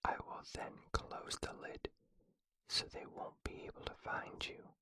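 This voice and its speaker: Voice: measured pace, pushed and bright, no echo. A reader voicing a crafty young storybook girl in a whisper, feigning alarm. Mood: urgent coaxing.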